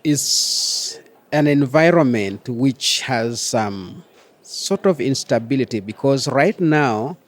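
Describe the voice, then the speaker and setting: A man from South Sudan with a South Sudanese accent, explaining things as an interview guest. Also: mid-range voice. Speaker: interview guest, microfinance chief executive.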